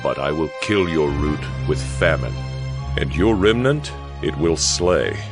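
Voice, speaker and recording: A man with a very deep voice narrates dramatized scripture. His delivery is solemn and declamatory, leaning firmly on key phrases. Soft music runs quietly behind him. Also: lively intonation, unhurried.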